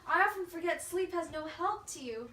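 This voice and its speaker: A woman's voice: very high voice, lively intonation, normal speed, sharp tone, heavily acted. A woman acting an elderly, passionate piano virtuoso and teacher in readers theatre, with an effusive, theatrical manner. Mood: gentle. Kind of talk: amateur readers theatre performance. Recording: echoey room, distant mic, faint noise.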